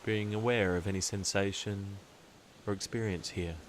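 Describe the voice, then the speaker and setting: Male meditation guide, low register, calm and deliberate with long pauses, faint hiss on the recording. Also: rough recording.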